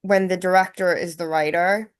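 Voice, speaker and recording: A woman's voice: lowish voice, fairly even intonation, normal speed, clear, resonant tone. A woman taking part in a casual discussion among actors, feeling her way tentatively through her thoughts as she talks. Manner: tentative, thinking aloud.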